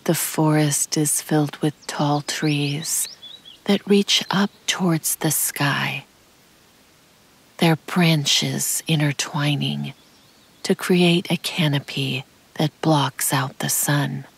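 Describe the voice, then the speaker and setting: Slow, low, breathy meditation woman. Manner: calm, soothing, measured. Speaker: guided meditation narrator. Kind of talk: slow scripted guided meditation narration. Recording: birdsong and forest ambience behind. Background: birdsong, insects, nature ambience.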